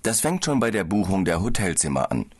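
Low male, calm and explanatory, scripted audiobook dialogue. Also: a little fast, slightly rough. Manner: calm, explaining, slightly tired. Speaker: business traveller in a language-course dialogue.

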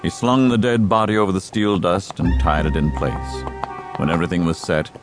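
A man narrating a dramatized western audiobook in a measured storytelling style. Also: deep voice, steady tone, music in the background.

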